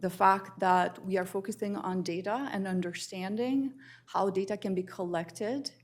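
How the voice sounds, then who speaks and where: A woman from Albania speaking as a panelist on justice and international development, earnest and measured, building her points in lists. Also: mid-range voice. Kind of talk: panel discussion remarks.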